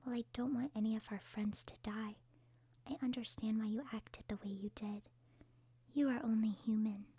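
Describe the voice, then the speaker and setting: A woman voicing a calm, gentle, level-headed teenage girl in a whispered, breathy ASMR roleplay. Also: a little high, normal speed, dry recording. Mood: gentle, reassuring.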